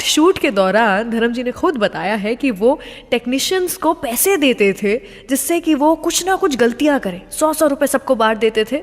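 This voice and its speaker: Indian woman radio host in long reverb. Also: a little high. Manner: chatty, mixes English phrases into Hindi. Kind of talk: conversational radio presenting, storytelling.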